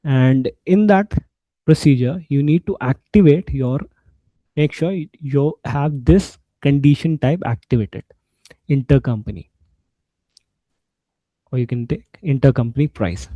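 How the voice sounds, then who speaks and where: A man giving an informal software walkthrough, explaining step by step in a halting way. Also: mid-range voice, dry recording, muffled recording. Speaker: SAP instructor.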